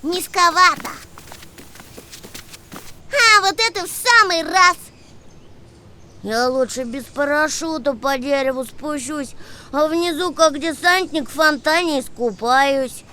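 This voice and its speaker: Cartoon kitten boy, childlike, sharp bright tone, unhurried, playful, boastful, bossy, lightly noisy recording. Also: full character voice. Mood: bossy, eager. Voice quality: childlike squeaky voice. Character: playful, boastful little kitten boy, eager commander of games.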